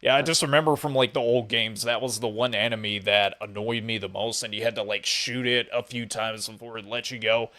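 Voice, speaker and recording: A man hosts a podcast interview in a casual, chatty way. His voice sits at an ordinary middle pitch. He speaks with informal enthusiasm, like a fan talking to someone he follows. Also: steady tone, brisk, hardly any pauses, bright, forceful tone. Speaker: podcast host interviewing a guest.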